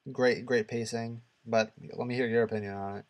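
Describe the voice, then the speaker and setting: A man with a mid-range voice chats casually as co-host of a gaming podcast. He speaks haltingly and rambles as he gives his opinions. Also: medium pitch, steady tone.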